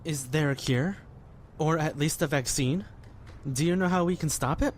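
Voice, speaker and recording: A man's voice: a little high, moderate pace, close to an everyday voice. A man playing a nervous, earnest young survivor in a zombie audio drama, hesitant and stammering. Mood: anxious. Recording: clearly noisy amateur recording.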